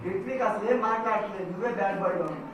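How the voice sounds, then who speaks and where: A man's voice: high-pitched, racing. A man hosting a children's Bible puppet show, bantering in a challenging, questioning, teacherly way. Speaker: children's Bible programme host. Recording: very echoey, distant, loud background noise.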